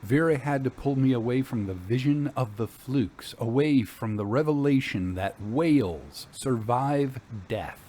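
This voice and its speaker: A man narrating a scripted ghost story in the first person with a deliberate, literary, dramatic delivery. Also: mid-range voice, dark tone.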